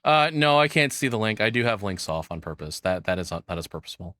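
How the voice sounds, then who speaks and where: A man's voice: fairly low pitch, sing-song, fast, sharp tone, no echo, clean studio sound. A man casually rambling on a livestream as he reacts to videos, self-deprecating and swearing freely.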